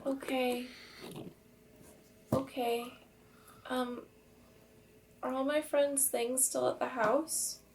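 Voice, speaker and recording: A woman acts a meek, dazed teenage girl in a tabletop game. She speaks slowly and haltingly in a fairly high voice, somewhat distant from the microphone with faint noise behind. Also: little pitch movement, heavily acted. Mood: meek, uncertain. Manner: hesitant, short answers.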